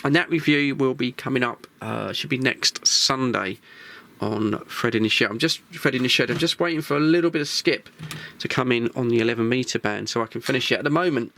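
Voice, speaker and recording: An English man with a bright, forward voice of medium pitch presents a hobby vlog. He talks casually and informally, rambling a little and pausing as he looks for the next word. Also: creaky, slight background noise. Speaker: host of a radio hobby channel. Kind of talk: casual vlog chat, gear preview.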